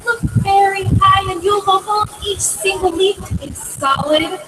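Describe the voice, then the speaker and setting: An eager, cheeky young boy from a storybook, voiced by a woman reading aloud. He blurts out high, breathless exclamations at an unhurried pace. The voice is distant, with noticeable noise behind it. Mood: excited. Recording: distant mic, clear background noise.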